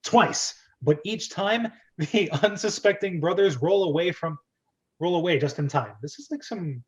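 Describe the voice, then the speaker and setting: Higher-pitched man reading a screenplay, dull audio. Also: a little slow. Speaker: host and script reader. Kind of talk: informal screenplay read-aloud with casual asides.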